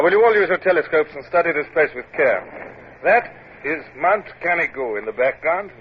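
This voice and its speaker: An actor playing a commanding, stern British naval captain in an old radio adventure drama, speaking in clipped, formal orders. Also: male voice, fairly high pitch, lightly acted. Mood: brisk command. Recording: tinny, noisy vintage radio recording.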